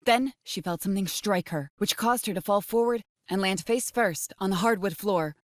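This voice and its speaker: A woman's voice: mid-range voice, moderate pace, no echo, studio quality. A woman narrating a dramatic scripted horror audiobook.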